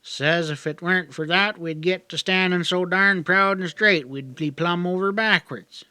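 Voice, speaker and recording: A man's voice: sits high, normal speed, sharp tone, smooth, no room sound, pitched above the performer's own voice. A man voicing a talkative, naive young backwoods native in an audiobook, with a rural Southern accent and a folksy, rambling drawl. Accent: rural Southern hillbilly. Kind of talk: audiobook character dialogue.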